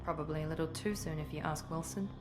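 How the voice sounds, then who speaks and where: An actress voices a self-assured covert operative in a video game cutscene. She has a low female voice and speaks in a haughty, businesslike, clipped way. Music is clear behind her. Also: steady tone, fast talker, lightly acted. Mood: cool, blunt.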